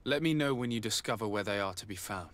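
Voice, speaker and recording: A man's voice: lowish voice, lively intonation, fast talker, fairly bright tone. A man playing a composed, courteous noble diplomat in a video game, speaking politely and with discretion. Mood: composed, reassuring. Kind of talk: video game cutscene acting.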